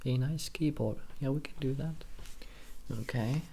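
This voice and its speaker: A man doing a soft-spoken ASMR roleplay as a shop assistant, talking in a hesitant, halting, unhurried way. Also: medium pitch. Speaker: ASMR creator playing a keyboard shop assistant.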